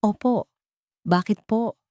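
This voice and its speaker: Give this story a woman playing an anxious wife and mother who pleads with her husband. Her voice is mellow and sits very close, with no room around it. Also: mid-range voice, takes it slowly, no echo, pitched above the performer's own voice, lightly acted. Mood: nervous.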